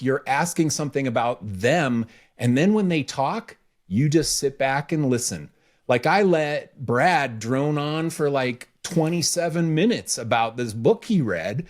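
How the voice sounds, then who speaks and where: Emphatic man coaching on a podcast. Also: mid-range voice. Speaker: podcast host, business coach. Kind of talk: casual instructive podcast talk.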